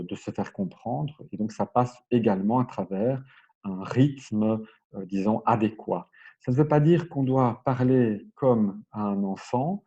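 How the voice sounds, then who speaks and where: A man giving an explanatory language lesson as a teacher, in a measured, hesitant way, searching for his words. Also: lowish voice, warm tone, slightly rough, lo-fi sound. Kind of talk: explanatory lesson, semi-improvised.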